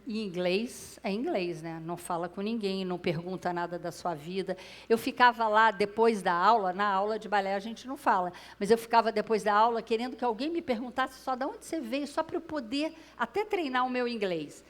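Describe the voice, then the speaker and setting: A woman's voice: medium pitch, brisk. A Brazilian woman with a Brazilian Portuguese accent, telling a personal story to a live audience on stage through a clip-on mic, addressing the listeners directly. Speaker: speaker at a public talk. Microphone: clip-on microphone.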